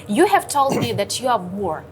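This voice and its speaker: A woman interviewing a guest, asking formal questions in a polite, steady way. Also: fairly high pitch, normal speed, slight background noise.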